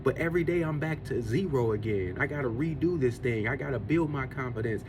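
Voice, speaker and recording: Man, forties, earnest and preachy, faith-based motivational talk, middle pitch, vehicle rumble in background. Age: 40s. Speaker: podcast host.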